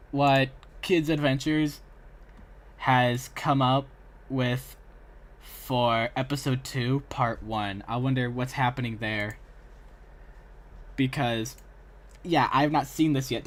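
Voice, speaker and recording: A young man who is a streamer, chatting casually and at rambling length as he reacts to videos for his live viewers. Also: mid-range voice. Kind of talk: casual livestream chat and reaction.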